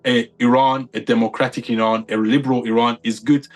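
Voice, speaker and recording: A man's voice: mid-range voice, flat delivery, fairly quick. A man from the United Kingdom, a podcast guest giving his opinions in casual chat, stacking up points with repeated phrases.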